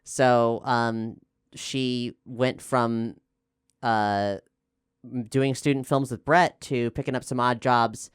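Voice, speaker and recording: A man from Florida with an American accent, talking casually and explaining things in a halting way, often correcting himself as he thinks aloud. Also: mid-range voice, steady tone, clean tone, no echo, studio quality. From Florida, United States. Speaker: actual play podcast player and co-host.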